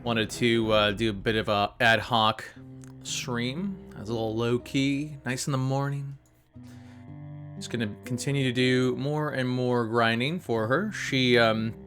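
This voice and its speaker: A man with a nasal voice, groggy from just waking up, chatting casually and rambling with fillers as he streams a game. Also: medium pitch. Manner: relaxed, rambling, many fillers. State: sleepy, just woken up. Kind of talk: casual live-stream gameplay commentary.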